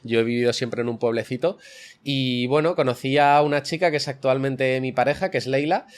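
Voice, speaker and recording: A man's voice: mid-range voice, fairly quick. A young man from the Madrid area with a central Spanish accent, giving long, explanatory answers as an interview guest. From Madrid area, Spain. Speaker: interview guest, online fitness coach.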